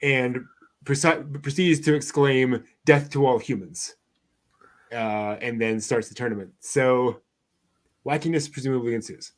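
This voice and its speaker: A man hosting an anime news show, chatting casually through items with a somewhat halting delivery. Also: medium pitch.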